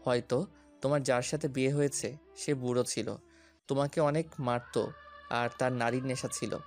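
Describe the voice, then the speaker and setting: A man's voice: medium pitch, steady tone, normal speed, faint music behind, lightly acted. An actor playing a domineering young policeman suitor in a romantic audio story, commanding and taunting.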